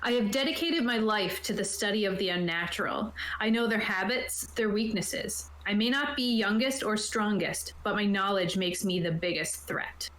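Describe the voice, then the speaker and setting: A woman's voice: mid-range voice, moderate pace, hardly any pauses, a bit bright. A woman casually explaining tabletop game rules and reading rulebook passages aloud, as a game master on an online call. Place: indoor room, online video call. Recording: roomy, echoey home recording.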